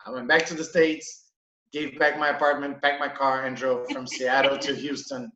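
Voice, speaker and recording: A man from Brazil, a hotel manager, chatting in a relaxed, halting way with many fillers on a video call. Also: mid-range voice, slight background noise. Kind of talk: casual interview. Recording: echoey room, dull lo-fi sound.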